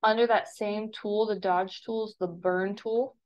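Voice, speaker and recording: A woman with a creaky voice, an art teacher casually explaining software step by step in a tutorial voice-over. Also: medium pitch, steady tone, a little slow. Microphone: screen-recording voice-over. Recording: dull, lo-fi voice-over.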